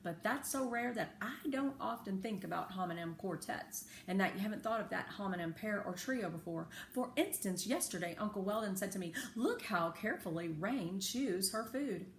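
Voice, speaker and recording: A woman reading a children's story aloud in an expressive, careful, teacherly way with chatty asides. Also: mid-range voice, a little fast, creaky, few pauses. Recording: echoey room with faint background noise.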